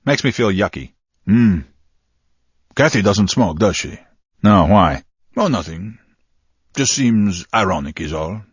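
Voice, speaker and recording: A dim henchman guard in a spy game, raspy and forceful, with lively swings of pitch. He talks in casual slang, on slightly dull lo-fi audio. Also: a little slow, long pauses, no echo. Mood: idle, casual. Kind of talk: scripted comic game guard banter.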